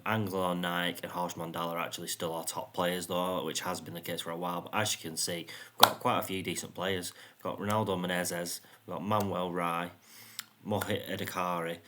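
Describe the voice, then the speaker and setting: Man, monotone, deep, flat delivery, rambling casual game commentary. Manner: rambling, frequent ums and "you know what I mean". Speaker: gaming YouTuber.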